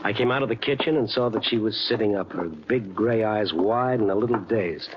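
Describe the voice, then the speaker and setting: A man's voice: lowish voice, brisk, dull audio, noisy background, natural voice. An American actor playing a wry, flirtatious Chicago newspaperman who narrates his own case in a hard-boiled 1950s radio drama style. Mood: wry, narrating. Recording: band-limited old radio recording, prominent music.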